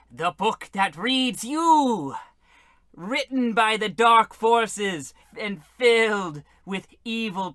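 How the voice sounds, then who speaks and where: Sinister novelist: theatrical, menacing, drawn-out delivery, forceful tone, very dry studio sound, comic radio horror. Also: male voice, sits high, clear, resonant tone, dry recording, full character voice. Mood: gloating menace. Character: sinister, grandiose horror novelist serving dark gods. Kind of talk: old radio horror comedy acting.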